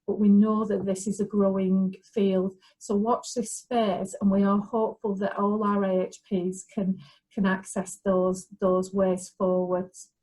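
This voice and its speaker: A woman talks through training and career routes in an informational way. Her voice sits in a mid range, and her delivery is measured, with occasional restarts and ums. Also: mid-range voice, flat delivery, dark tone, rough recording. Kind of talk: informational talk.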